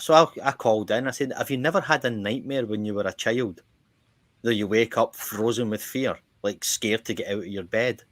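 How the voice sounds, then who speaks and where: A middle-aged man with a Scottish accent, chatting casually as a podcast host and telling rambling stories that he keeps interrupting himself to restart. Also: fairly low pitch. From Ireland. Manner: rambling anecdotes with frequent self-interruptions and laughter. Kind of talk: casual chat.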